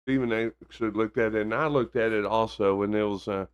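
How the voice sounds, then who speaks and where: Low monotone baritone man, dry sound. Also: fast talker, clean tone, dry recording, dull audio. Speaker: podcast co-host. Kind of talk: casual chat.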